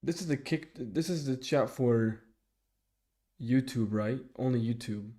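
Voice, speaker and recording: A young man talks with his live viewers, picking up their comments one by one. His voice sits at an ordinary pitch but sounds mellow and dark. He stops and restarts a lot, filling the gaps with "um" and "like.". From Sweden. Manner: halting, many restarts and fillers. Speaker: streamer. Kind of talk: casual live chat reading viewer comments.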